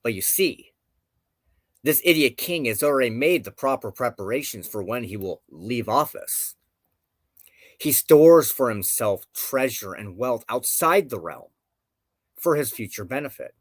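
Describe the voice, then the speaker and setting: A man hosting a show, giving reflective commentary on folklore and myth with deliberate, emphatic phrasing. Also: medium pitch, lively intonation, no echo. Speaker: show host.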